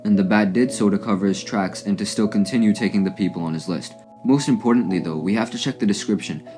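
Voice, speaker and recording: A man's voice: lowish voice, darkish tone. A man narrating a scripted, analytical recap as a video essayist.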